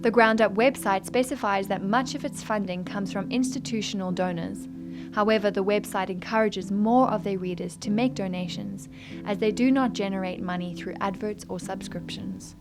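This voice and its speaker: A young adult woman narrates a written news feature. Her voice sits at a middle pitch, and she delivers the text as a scripted read, in the role of a student journalist reading an article. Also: fairly even intonation, normal speed, music in the background.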